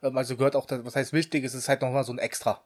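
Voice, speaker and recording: A man's voice: mid-range voice, level tone, racing, no room sound. A young man co-hosting a casual podcast chat, chatty and laughing often, mixing English slang into his talk. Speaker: podcast co-host.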